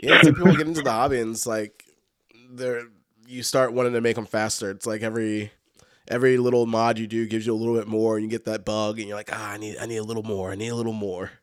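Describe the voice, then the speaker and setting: A man's voice: fairly low pitch, fairly even intonation, fast talker, fairly bright tone, no echo, studio quality. A man hosting a casual podcast, talking in an easygoing, slangy way. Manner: easygoing, slangy, laughs along. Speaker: podcast host.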